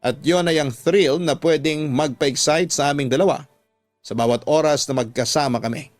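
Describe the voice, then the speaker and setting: Filipino man narrating over music, higher-pitched voice. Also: moderate pace, no echo. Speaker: radio drama narrator reading a listener's letter. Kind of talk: scripted dramatic narration of a confessional love story. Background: background music.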